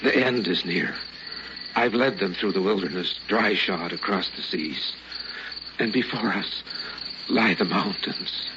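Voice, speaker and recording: An actor playing a gentle, selfless old desert prophet in an old-time radio drama, speaking in solemn scriptural phrases. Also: male voice, low-pitched, a little slow. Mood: weary, prophetic. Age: older. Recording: dull old radio recording, noisy background.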